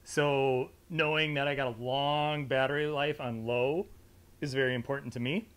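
A man's voice: medium pitch, steady tone, moderate pace, fairly bright tone, smooth. An American man in his thirties presenting a casual, conversational product review to camera in an indoor studio. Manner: conversational, informal. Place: indoor studio with fabric backdrop.

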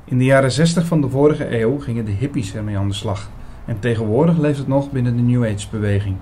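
A man's voice: mid-range voice, fast, dark tone, a little hiss or hum, close to an everyday voice. A man playing an attentive investigator who narrates his own story in a scripted radio drama. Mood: measured, reflective. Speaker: narrator and lead actor in an audio drama.

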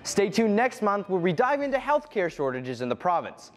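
A teenage student host asking interview questions and reading a scripted wrap-up in an earnest way. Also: male voice, high-pitched, very animated, moderate pace, soft background music.

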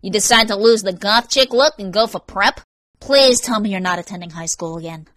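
A woman's voice: mid-range voice, fairly quick, a bit bright, no echo, lightly acted. A woman voicing a hot-tempered, sarcastic, foul-mouthed young man in a story narration. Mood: mocking.

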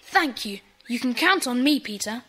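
A woman's voice: a little high, animated, normal speed, pushed and bright, breathy edge, heavily acted. A woman playing an earnest, kind-hearted schoolboy in a children's audio drama. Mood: grateful. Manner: earnest, explanatory.

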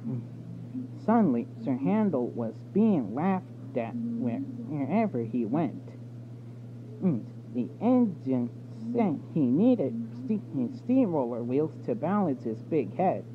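A man's voice: mid-range voice, sing-song, takes it slowly. A man reading a children's storybook aloud, stumbling now and then over the words. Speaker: storybook reader. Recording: tinny phone-like audio with background noise.

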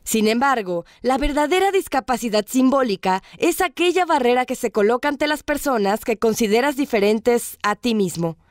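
A woman reads a news report as voice-over, measured and formal. Her voice is notably high and the sound is very dry and close. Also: animated, no echo.

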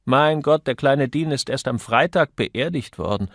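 A man puts on an outspoken young office woman in an audiobook. The voice jumps well up in pitch and swings widely, brusque and mocking. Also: animated, a little slow, fairly bright tone, no room sound, studio quality.

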